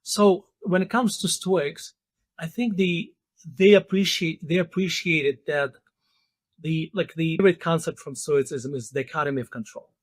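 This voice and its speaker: Man, 50s, interview guest, higher voice, hesitant, thoughtful, dry sound. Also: moderate pace, dry recording. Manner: thoughtful, hesitant, many fillers and restarts. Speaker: podcast guest. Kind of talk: interview conversation.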